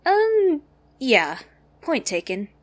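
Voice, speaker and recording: Slow, curious young woman actress. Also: high voice, huge pitch swings, no room sound, full character voice. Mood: sceptical, curious. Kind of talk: fan audio drama acting.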